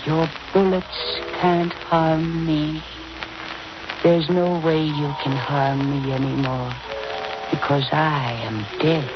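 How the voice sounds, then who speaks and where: A woman's voice: sits low, steady tone, slow pace, over music. An actress playing the cold, accusing ghost of a drowned woman, menacing, in a 1940s radio drama. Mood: cold menace. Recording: muffled old radio recording.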